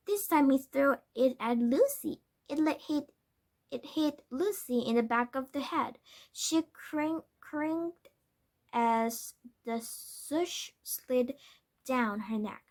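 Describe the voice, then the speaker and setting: A woman narrates a children's storybook in a high-pitched voice. Her pace is slow and halting, and she stumbles over words and corrects them as she goes. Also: expressive, darkish tone. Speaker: read-aloud storyteller. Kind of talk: scripted children's book narration.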